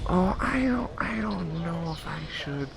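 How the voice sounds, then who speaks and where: A man voicing an anxious, bewildered little schoolboy in a comic story, stammering and halting as he talks. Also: high voice, expressive, vocal fry, crowd noise, voice pushed up, exaggerated voice. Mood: hesitant.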